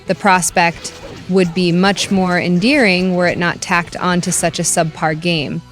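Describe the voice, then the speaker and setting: A woman's voice: on the low side, fairly even intonation, measured pace, soft background music. A woman reading a scripted video game review in a dry, critical, wry manner.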